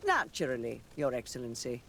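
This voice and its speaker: A man's voice: medium pitch, big pitch swings, fairly quick, creaky, bright, forceful tone, slight background noise, full character voice. A man voicing a gracious, courtly dignitary in a video game, with ornate, formal courtesy. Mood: gracious, inquiring. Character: courtly male dignitary, gracious and grateful ruler. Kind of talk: video game cutscene acting.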